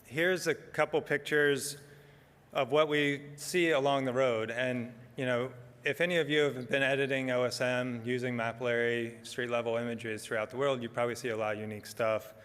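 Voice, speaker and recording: A soft-spoken middle-aged man giving a relaxed conference talk, with frequent ums as he walks through slides. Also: mid-range voice, normal speed, clean tone, long reverb tail. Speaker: conference speaker. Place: conference hall.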